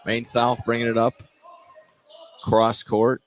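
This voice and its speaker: A man doing live play-by-play basketball commentary, halting at times and correcting himself mid-call. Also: mid-range voice, completely flat delivery. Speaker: play-by-play announcer. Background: gym ambience with bouncing basketballs.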